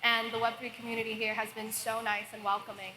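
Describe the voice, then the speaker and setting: A woman in her twenties from Hong Kong, a software engineer talking casually on a conference panel. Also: fairly high pitch, level tone, quick pace, heavy background noise. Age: 20s. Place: conference hall.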